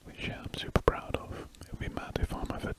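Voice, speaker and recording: Softly whispering man, ASMR host. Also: fast. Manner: soft unvoiced whisper, rambling and self-correcting. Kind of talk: whispered ASMR drawing chat.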